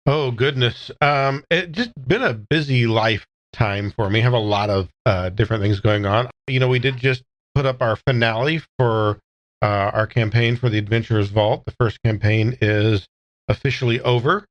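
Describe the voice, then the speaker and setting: A man's voice: medium pitch, moderate pace. A man chatting casually on a podcast, recorded on a slightly dull microphone. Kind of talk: casual chat.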